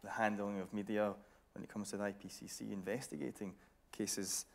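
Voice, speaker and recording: Gravelly-voiced man moderating. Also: lowish voice, steady tone, brisk. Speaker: presenter, moderator. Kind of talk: moderating a panel discussion. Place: theatre stage before an audience.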